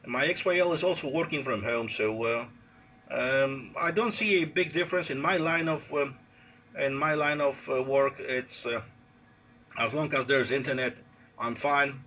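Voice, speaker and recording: Male ham operator, Polish accent. Bright tone, halting casual delivery, some noise on a phone recording. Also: mid-range voice. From Poland. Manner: halting, hesitant, searching for words. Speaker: amateur radio operator. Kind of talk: casual radio contact chat. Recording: noisy unplanned handheld phone recording.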